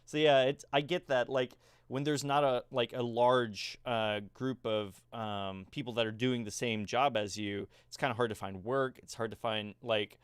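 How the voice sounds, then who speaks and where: A man's voice: medium pitch, fairly even intonation, moderate pace, few pauses, clear, resonant tone, dry recording, studio quality. A man hosting a casual interview show over a video call, chatty and joking, laughing often. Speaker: podcast host.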